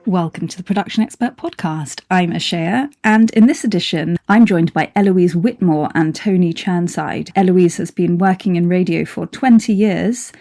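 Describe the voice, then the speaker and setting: A woman's voice: lowish voice, moderate pace, hardly any pauses, warm tone. A woman hosting an interview podcast, encouraging in manner. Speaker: podcast host. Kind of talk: interview with scripted introduction.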